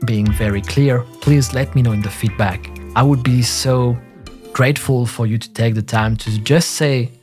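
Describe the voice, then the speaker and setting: A man with a thick French accent hosting a solo podcast, talking in a relaxed, halting way with frequent restarts. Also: medium pitch, faint music behind. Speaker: podcast host.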